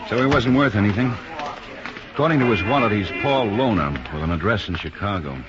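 An actor playing a steady, no-nonsense male police detective in a radio drama, with a plain, firm, businesslike manner. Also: lowish voice, brisk, close to an everyday voice. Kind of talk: 1950s radio drama acting. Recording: dull, hissy, noisy old radio recording.

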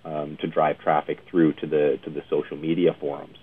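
A man's voice: rumbling low, level tone, normal speed, husky. A man hosting an interview in a conversational style, working through long-winded, hesitant questions. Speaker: interview host. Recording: narrow phone-like band, lo-fi internet radio.

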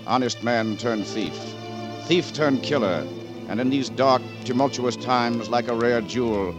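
A man's voice: medium pitch, unhurried. A man giving solemn, grandiose scripted narration in a 1940s radio drama style. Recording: old radio recording, music behind.

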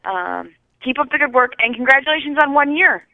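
A woman calling in by telephone to chat casually, speaking in a hesitant, halting way. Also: high-pitched, fairly even intonation, dry recording. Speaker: caller leaving a listener message. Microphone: phone call. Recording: tinny, narrow phone line.

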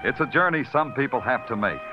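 A man's voice: medium pitch, lively intonation, fairly quick, music in the background, close to an everyday voice. A man playing a hard-boiled, world-weary New York police detective in a radio noir drama, brooding and clipped. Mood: brooding, poetic. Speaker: radio drama lead actor and narrator. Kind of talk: 1940s radio noir acting. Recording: dull, band-limited vintage radio recording.

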